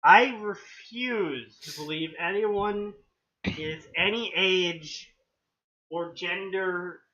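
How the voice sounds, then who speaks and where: A man's voice: high voice, very slow, bright, forceful tone. A man co-hosting a fanfiction-reading stream, rambling with dry, wry jokes in casual banter. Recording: dull lo-fi mic.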